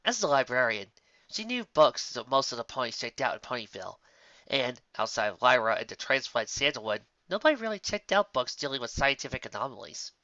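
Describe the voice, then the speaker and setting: A man narrates a written story aloud. His voice is bright and very animated in pitch. The recording is close and dry but slightly dull. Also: brisk, dry recording. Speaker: story reader. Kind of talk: scripted narration of fan fiction.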